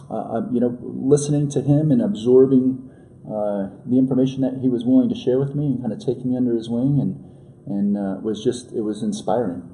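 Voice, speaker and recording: A man in his forties, a podcast guest in casual conversation. His voice is dark and mellow, and he keeps pausing on fillers and starting over. A faint hiss sits under the recording. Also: medium pitch, low-quality recording. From New York, USA. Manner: halting, many fillers and restarts. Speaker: guest entrepreneur.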